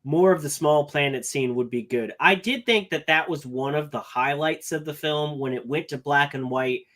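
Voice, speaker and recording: Slightly high-voiced man picking a film apart. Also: moderate pace, a bit bright. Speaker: podcast co-host. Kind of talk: casual chat.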